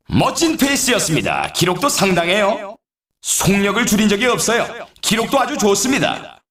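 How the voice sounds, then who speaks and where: A man playing an excitable snowboard race announcer in a video game, with emphatic, formal broadcast delivery. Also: high voice, echoey room. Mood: commenting, impressed. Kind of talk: scripted video game sports commentary.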